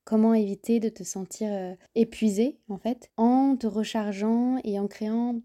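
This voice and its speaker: A woman's voice: fairly high pitch, steady tone, moderate pace, warm tone. A woman hosting a podcast, interviewing a guest and explaining things fluently in a chatty way, restarting now and then as she translates on the fly. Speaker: podcast host.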